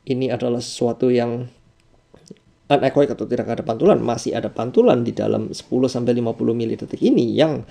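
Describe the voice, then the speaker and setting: Man, Indonesian accent, very mellow dark tone, creaky fry, instructor, tutorial narration, rephrases as he explains. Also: medium pitch, fast. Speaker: audio engineering instructor.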